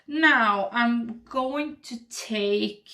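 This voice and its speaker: A woman in her thirties with a Swedish accent, chatting and murmuring softly to the camera while doing her makeup at home. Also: fairly high pitch, drawn-out words. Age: 30s. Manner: soft, rambling, murmuring while applying makeup. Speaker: beauty vlogger. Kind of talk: casual get-ready-with-me chat. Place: home makeup room, indoors. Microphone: webcam framing at desk.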